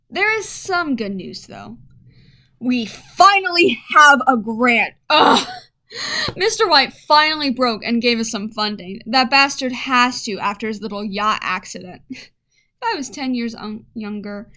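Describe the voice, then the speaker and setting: A sharp, fairly high female voice played by an actress in an audio drama. She is a weary, obsessive lead scientist of forty. She dictates her log bluntly, with exasperated muttered asides. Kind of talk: radio play audio log monologue.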